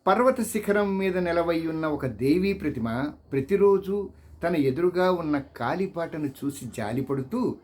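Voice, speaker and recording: Slow, higher-pitched male storyteller. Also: lively intonation, a little mellow. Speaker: audiobook reader.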